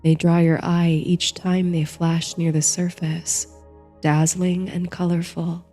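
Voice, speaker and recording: A woman narrating a guided bedtime meditation in a soothing, gentle, hushed manner, reading from a script. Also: on the low side, little pitch movement, takes it slowly. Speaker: host and narrator of a sleep story series. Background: soft music.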